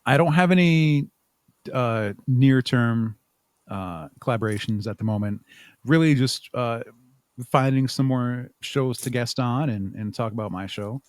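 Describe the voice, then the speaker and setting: A man answering interview questions conversationally as a podcast guest, thinking aloud in a halting way with repeated words. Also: mid-range voice, dry recording. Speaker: podcast guest, audio drama writer and producer.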